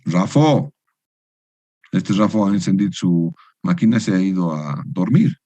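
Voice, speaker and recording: A man's voice: deep voice, expressive, unhurried, mellow, no echo. A man giving an online engineering lecture to university students. He teaches in a didactic way and keeps checking in with tag questions. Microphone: video call.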